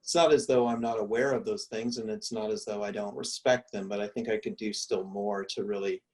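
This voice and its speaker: A male art history professor musing aloud in relaxed conversation, full of restarts and fillers, speaking from a room at home on a video call. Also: on the low side, a little fast, distant mic. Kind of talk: reflective conversational answers.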